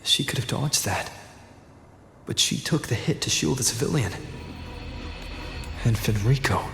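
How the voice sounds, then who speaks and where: A man's voice: medium pitch, expressive, a little slow, gravelly, fairly bright tone, faint music behind. An actor playing an earnest male soldier in a video game, questioning, his voice in a reverberant space. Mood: troubled. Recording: echoey hall with long reverb.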